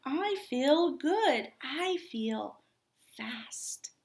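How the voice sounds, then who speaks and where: A woman voicing a patient, determined old female tortoise in a children's story, unhurried and plain-spoken. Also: high voice, expressive, full character voice. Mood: contented.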